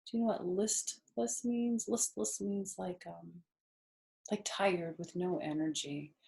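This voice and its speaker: A woman's voice: lowish voice, steady tone, slow, gravelly, mellow. An older woman reading a children's book aloud as a storyteller.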